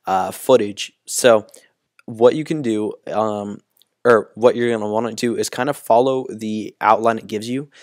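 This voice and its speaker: Young guy w/ a hoarse voice walking thru a tutorial, kinda monotone, lots of ums. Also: fairly low pitch, no room sound, clean studio sound. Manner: relaxed, full of ums and uhs. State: hoarse, partly lost voice. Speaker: YouTube tutorial host. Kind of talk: casual screen-recording tutorial.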